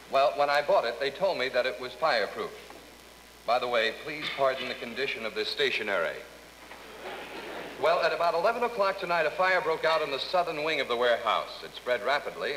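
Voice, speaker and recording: A straight-faced radio announcer with a bright, forward voice. He reads silly letters with formal gravity in a comedy skit. The old recording has long reverb and faint noise. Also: medium pitch. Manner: deadpan, formal. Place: radio studio.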